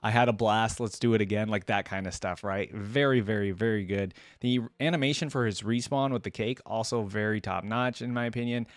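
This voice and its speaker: A man's voice: on the low side, fairly even intonation, a little fast, hardly any pauses, no echo. A young adult man giving enthusiastic, informal fan commentary as a reaction video host.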